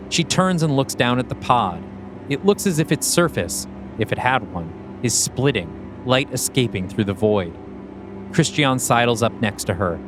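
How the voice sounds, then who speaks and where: Guy podcast host, average pitch, reads movie scenes and talks writing. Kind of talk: scripted screenplay narration and casual creative discussion.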